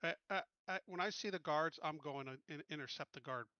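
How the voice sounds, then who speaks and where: A man's voice: a little high, brisk, pushed and bright, clean tone, dry recording, dull audio. A man playing a tabletop game, casually describing what his character does.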